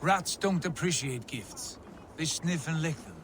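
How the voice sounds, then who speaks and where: An actor playing a proud, embittered Viking lord in a video game, speaking in a solemn, declamatory way. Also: male voice, a little high, animated, measured pace, noisy background. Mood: scornful. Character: embittered, proud Viking lord, grown man, fervent and brooding. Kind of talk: dramatic video game acting.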